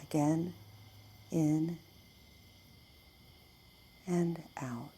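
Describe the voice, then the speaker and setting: Slow, low-voiced woman guiding a meditation. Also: a little hiss or hum. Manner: calm, measured, hushed delivery. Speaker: guided meditation narrator. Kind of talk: slow guided meditation, scripted narration.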